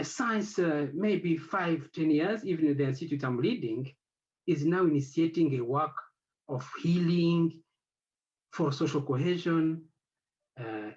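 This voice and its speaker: A man whose first language is French, speaking on an academic panel as the head of an institute. He talks haltingly and searches for words, with many fillers. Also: fairly high pitch, darkish tone, highs cut off.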